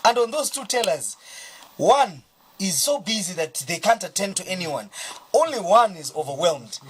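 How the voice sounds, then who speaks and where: Zambian host, lively pitch, emphatic, questioning, TV studio, slight hum. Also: normal speed, low-quality recording. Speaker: TV talk show host. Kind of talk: TV business talk show hosting.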